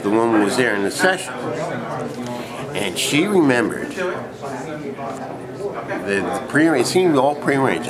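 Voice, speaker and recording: A man being interviewed, telling a personal story in a halting, rambling way and often repeating himself. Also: medium pitch. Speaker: interview guest. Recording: loud crowd din in a public space.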